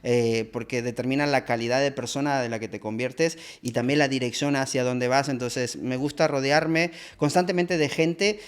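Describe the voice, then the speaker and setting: A man's voice: medium pitch, steady tone, normal speed, few pauses, clean tone. A middle-aged man from Argentina, a leadership coach answering interview questions in a reflective way, with many fillers and tag questions.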